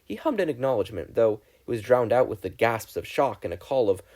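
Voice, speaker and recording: Mellow, expressive male story narrator. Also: medium pitch, a little fast. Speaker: audiobook narrator, reader of fan fiction.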